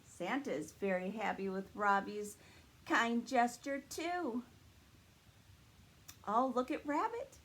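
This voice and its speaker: High-pitched, animated woman hosting children's story time. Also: slow, long pauses. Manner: animated, exaggerated sing-song delivery for small children. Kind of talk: children's story time, talking to young viewers and a puppet friend. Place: indoors in a library.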